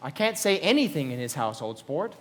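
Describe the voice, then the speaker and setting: Wistful mannered gentleman played by a man, expressive, echoing. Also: on the high side, fairly quick. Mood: guarded, polite. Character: wistful, mannered self-made gentleman, hopelessly romantic. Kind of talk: live radio play acting. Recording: reverberant hall of a live performance.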